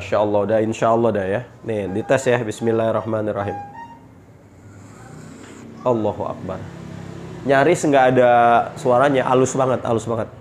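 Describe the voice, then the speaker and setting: Guy w a blocked nose from a cold, chatty upbeat sales talk, bit of hiss behind. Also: medium pitch. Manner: chatty, upbeat selling patter with pious exclamations. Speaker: knife seller. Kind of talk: casual product showcase.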